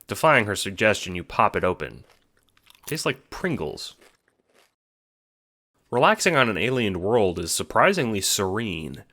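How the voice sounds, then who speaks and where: A man narrating an audio drama in an expressive, scripted storytelling style, addressing the listener as the hero. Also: on the low side, a little slow, long pauses. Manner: animated, expressive. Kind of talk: scripted second-person storytelling.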